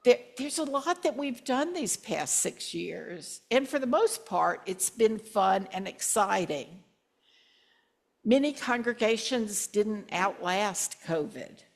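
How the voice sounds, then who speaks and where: A woman in her sixties, a minister reminiscing to her congregation with gentle humor in a church. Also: medium pitch, expressive, gravelly. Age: 60s. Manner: slow, warm-humored reminiscing with asides. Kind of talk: reflective sermon with congregation sharing. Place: church sanctuary.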